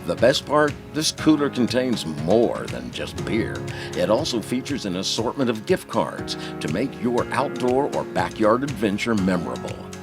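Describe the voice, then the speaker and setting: A man's voice: lowish voice, big pitch swings, normal speed. A man reading a scripted promotional voice-over for an auction item in an upbeat announcer style. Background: music.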